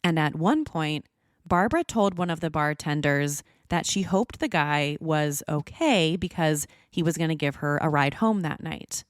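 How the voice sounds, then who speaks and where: Woman podcast host reading true crime script, low voice, really dry close sound. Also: animated, unhurried, no echo.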